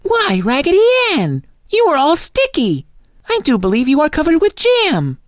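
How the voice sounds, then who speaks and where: A man voicing a puzzled, scolding young girl who owns the dolls, in an exclamatory falsetto, for a storybook. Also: wildly swooping pitch, moderate pace, smooth, no room sound, studio quality, full character voice.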